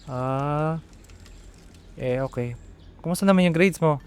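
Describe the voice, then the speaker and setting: A man's voice: on the high side, a little slow, smooth, close to an everyday voice. Man playing a prickly, protective Filipino big brother. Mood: stern, probing. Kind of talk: amateur radio drama acting.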